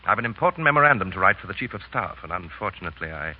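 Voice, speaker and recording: An actor in a 1930s radio drama playing a stern, scheming French army officer with a clipped, commanding manner. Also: male voice, sits low, very animated, rapid-fire. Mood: smoothly scheming. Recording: dull, noisy 1930s radio recording.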